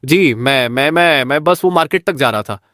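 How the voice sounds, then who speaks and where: A man's voice: medium pitch, expressive, normal speed, fairly bright tone, no room sound, lightly acted. Man playing a meek village tailor, dry sound. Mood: frightened, stammering. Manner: deferential, hesitant. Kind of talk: cartoon story acting.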